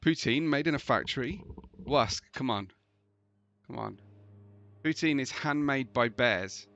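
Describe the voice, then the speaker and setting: A man with a tired, gravelly voice commentating a sim racing live stream, chatting between bursts of race calls. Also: medium pitch, a little slow, lo-fi sound, soft background music. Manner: chatty, laughing asides, excitable during race action.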